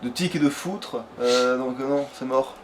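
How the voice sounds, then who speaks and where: A man's voice: medium pitch, normal speed. A man doing casual, slangy let's play commentary with a friend, swearing freely as he talks. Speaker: let's player. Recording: background noise and game ambience. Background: video game sound.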